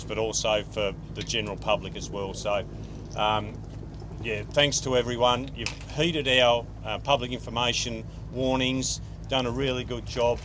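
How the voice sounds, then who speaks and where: An Australian man with a mid-pitched voice speaks informally at an outdoor briefing, pausing and restarting his sentences. Loud outdoor noise and vehicles sit behind him throughout. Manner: informal, halting, with many fillers and restarts. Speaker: fire incident controller. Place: outdoors, with a helicopter overhead.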